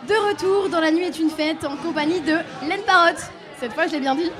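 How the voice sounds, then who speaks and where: A woman's voice: very high-pitched, brisk, over music, crowd noise. A woman hosting a radio show, introducing her guest in a playful, teasing way. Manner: playful, teasing delivery, laughing between lines. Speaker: radio host.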